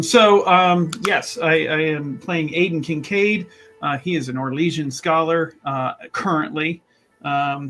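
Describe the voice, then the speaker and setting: A man with a voice a bit higher than most chats as a tabletop player. His phrasing is wordy and playfully formal, and he hesitates often as he builds each sentence. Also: fairly bright tone. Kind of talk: casual character introduction.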